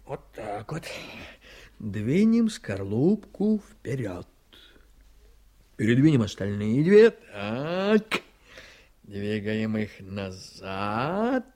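A man's voice: medium pitch, a little mellow. An actor playing an ambitious, jovial, scheming district attorney in a radio drama, theatrical and exclamatory, repeating his phrases. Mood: playful, jovial. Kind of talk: Soviet-style radio drama acting.

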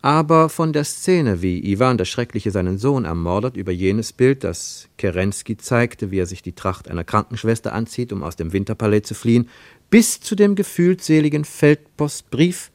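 A man with a low voice narrates a literary radio play in a dry studio. His phrasing is pointed and declamatory, with very lively rises and falls. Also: moderate pace, no room sound.

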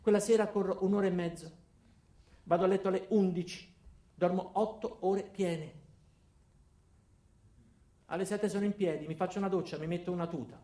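A man's voice: high-pitched, fairly even intonation, moderate pace, long pauses, mellow, echoey room, close to an everyday voice. An actor playing an ordinary man turned mean, telling his own story in a terse, matter-of-fact comic monologue for radio. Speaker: narrator and lead actor.

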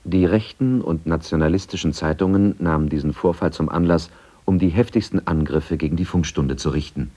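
A man narrating a radio documentary from a script in a measured, factual manner. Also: deep voice, mellow, breathy edge.

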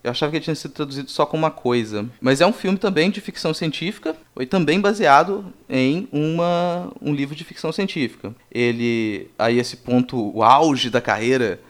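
A man with a Brazilian accent hosting a conversational film discussion, explaining things in a halting way. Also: a little high. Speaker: podcast host.

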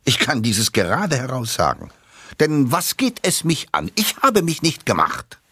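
An actor playing sardonic men of letters, reciting literary jibes for radio in a deliberate, dry manner. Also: male voice, medium pitch, huge pitch swings, bright, forceful tone, slightly rough, dry recording, exaggerated voice. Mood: haughty.

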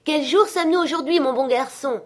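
A woman's voice: fairly high pitch, moderate pace, darkish tone, lightly acted. A woman voicing a giddy, reborn old miser in an exclamatory, breathlessly exuberant storybook reading. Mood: eager.

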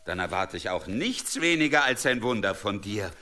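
An actor playing a brash, mocking medieval warrior in a German radio drama, with a sarcastic, needling manner. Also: male voice, fairly low pitch, big pitch swings, normal speed, sharp tone.

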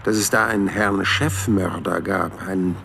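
A man's voice: low voice, steady tone, moderate pace, gravelly, a bit bright, a little hiss or hum, full character voice. An actor playing an unflappable hundred-year-old man in a radio drama, recounting adventures in a dry, understated, politely formal way. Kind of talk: radio drama narration and dialogue.

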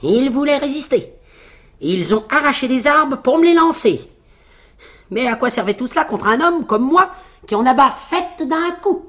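A woman's voice: high voice, lively intonation, moderate pace, a bit bright, voice pushed up. A swaggering little storybook tailor, very pleased with himself played by a woman. The voice leaps well above the storyteller's own and swings widely as he brags. The delivery is theatrical and cocksure. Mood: boastful. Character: cocky, boastful little male tailor, fairy-tale hero.

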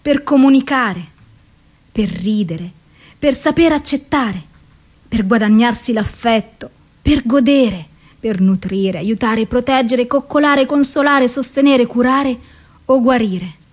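An actress playing a tormented, despairing woman in a poetic radio drama monologue, speaking in deliberate, intense phrases broken by pauses. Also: on the high side. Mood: yearning, incantatory. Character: tormented suicidal woman, broken doll telling her despair.

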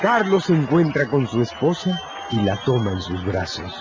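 A man's voice: medium pitch, sing-song, moderate pace. A man narrating a radio drama from a script in a dramatic style. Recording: dull, noisy radio recording.

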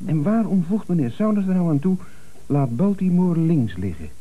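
An actor playing an earnest, stubborn office employee with a hesitant, pedantic way of talking, in radio comedy. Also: male voice, a little high, lively intonation, normal speed, phone-quality sound. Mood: puzzled, suspicious. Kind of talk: 1970s Dutch radio comedy acting.

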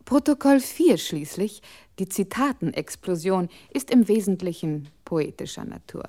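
Formal, mellow-voiced female computer control. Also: medium pitch, sing-song, measured pace. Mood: formal, explanatory. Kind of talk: scripted 1960s radio play narration.

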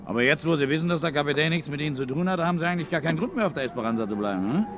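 Brusque, fast-talking ship's first mate. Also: male voice, on the high side, animated. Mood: wary, evasive. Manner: curt, authoritative questioning. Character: brusque, evasive first mate of a cargo ship. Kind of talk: 1950s German radio play acting. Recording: noisy 1950s radio recording.

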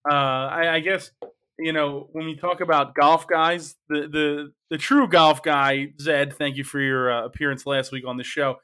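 A man hosts a casual betting panel with a bright, slightly raised voice. He is upbeat and laughs easily. He often stumbles and restarts his sentences. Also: a little high, fairly even intonation, normal speed, clean tone. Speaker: host of a sports betting show.

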